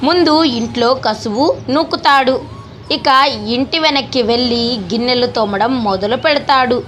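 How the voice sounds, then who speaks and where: High-pitched woman narrating a story. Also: normal speed, slight background noise. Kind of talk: scripted children's story narration.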